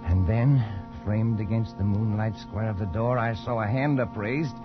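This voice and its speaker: A man acting as a haunted colonial gentleman in an old radio play, low in pitch and halting in speech. He sounds defensive and rattled, stammering over his words. The recording is dull and vintage, with music behind. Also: fairly even intonation, natural voice. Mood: haunted, narrating. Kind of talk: post-war radio drama acting.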